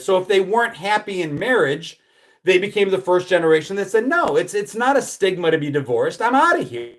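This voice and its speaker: A man in his fifties from the United States hosting a live stream, chatting casually, reading out viewer comments and rambling with many restarts. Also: sits high. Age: 50s. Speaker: livestream host.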